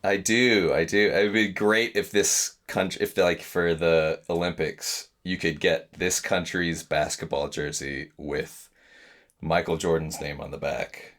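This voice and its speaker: A man's voice: sits low, flat delivery, measured pace, fairly bright tone. A man playing trivia, joking dryly and hesitating with fillers in a casual chat. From Singapore. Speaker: trivia contestant.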